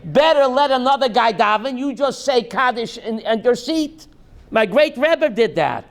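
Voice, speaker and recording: A rabbi teaching a class, reading text slowly and then explaining it in while quizzing his students. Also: very high voice, lively intonation. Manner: reads Hebrew text aloud slowly, then explains in English, quizzing listeners.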